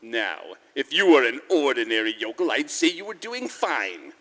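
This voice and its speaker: An actor playing a scheming, pompous, domineering old tycoon in a 1940s-style radio drama, recorded live in a church. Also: male voice, medium pitch, big pitch swings, normal speed, fairly bright tone. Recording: live church hall, long reverb.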